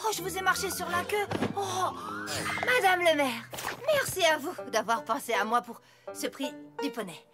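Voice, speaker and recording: A woman's voice: very high voice, normal speed. A woman voicing a hardworking, stubborn, folksy young farm pony in a children's cartoon. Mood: flustered, apologetic. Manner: folksy, plain-spoken, headstrong. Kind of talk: French-dubbed children's cartoon acting. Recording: music bed behind the voice.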